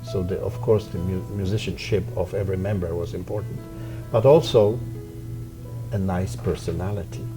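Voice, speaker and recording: A middle-aged Polish-accented man speaks in a fairly low voice, measured and reflective, pausing often to find his words. Soft instrumental music plays clearly behind him. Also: fairly even intonation. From Poland. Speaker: violin professor and orchestra co-founder. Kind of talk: interview answers.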